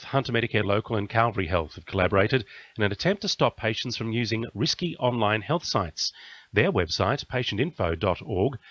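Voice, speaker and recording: Low-voiced Australian male news reader. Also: fairly bright tone, no room sound, lo-fi sound. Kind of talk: scripted radio news bulletin reading.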